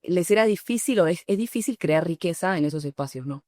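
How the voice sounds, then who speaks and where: A woman's voice: fairly low pitch, animated, brisk, darkish tone, dry recording, clean studio sound. A woman from Argentina with an Argentine Spanish accent, a startup founder answering interview questions in a thoughtful, explanatory way, often restarting her phrases.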